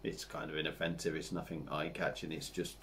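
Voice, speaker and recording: A man in his forties with an English accent, chatting casually to camera as he reviews a beer at his home bar, rambling and relaxed with lots of fillers. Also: very deep voice, fairly quick, creaky, slight background noise. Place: home bar room with dartboard and signs.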